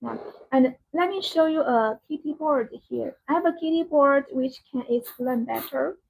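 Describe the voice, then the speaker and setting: A woman hosting a live product demonstration, speaking in halting, repetitive, non-native phrasing. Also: high-pitched, gravelly, slight background noise. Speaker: live-stream sales host demonstrating a product. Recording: dull, band-limited live-stream audio.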